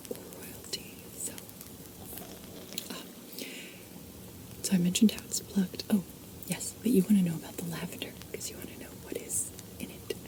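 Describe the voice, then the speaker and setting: A woman whispering softly in an ASMR video, chatting and wandering off on tangents as she explains things. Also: fairly low pitch, rough recording. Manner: soft unvoiced whisper, chatty and digressive. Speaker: ASMR host.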